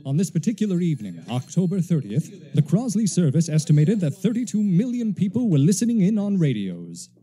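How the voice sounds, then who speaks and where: An actor playing a grave, portentous narrator in a radio play, with solemn, measured delivery. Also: male voice, high-pitched, sing-song, warm tone, husky, heavily acted. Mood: brisk narration. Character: grave, ominous male narrator of an alien invasion.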